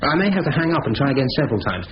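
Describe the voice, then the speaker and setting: An actor in a 1970s radio drama playing a dry, precise, sardonic member of a gang of thieves. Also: male voice, mid-range voice, very animated, rapid-fire, a little hiss or hum, natural voice. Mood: businesslike. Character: dry, needling, brisk technical man in a gang of thieves. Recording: roomy, muffled old radio broadcast.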